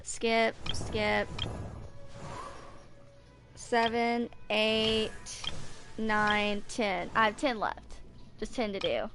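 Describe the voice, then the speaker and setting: A woman in her twenties chatting casually and haltingly to herself during gameplay, with game music behind her. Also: medium pitch, steady tone. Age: 20s. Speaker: gaming video creator. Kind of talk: casual off-the-cuff gameplay chat.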